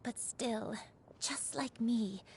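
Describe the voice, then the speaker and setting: Gentle, slow-spoken old granny. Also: female voice, on the high side, a little hiss or hum. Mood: fond, wistful. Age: older. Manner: gentle, solemn, wistful storytelling. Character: gentle, remorseful granny, secretly a wild crane in human form. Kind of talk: video game voice acting, scripted dialogue. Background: background music.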